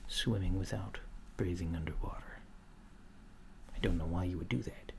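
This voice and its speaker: A man doing a soft-spoken ASMR roleplay as a curious, puzzled alien interviewer, speaking in a deliberate, halting, deadpan way. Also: low voice. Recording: clear background noise, keyboard typing. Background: computer keyboard typing.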